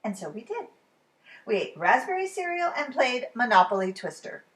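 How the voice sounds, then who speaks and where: A woman reading a children's story aloud as expressive storytime narration, animated and with dramatic pauses. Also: fairly high pitch. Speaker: storybook reader.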